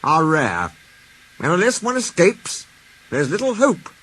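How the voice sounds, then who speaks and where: A man's voice: fairly high pitch, wildly swooping pitch, measured pace, a bit bright, pitched above the performer's own voice, exaggerated voice, poor audio. A man voicing a jovial, pompous railway controller in a storybook, hearty and full of authority. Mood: grave.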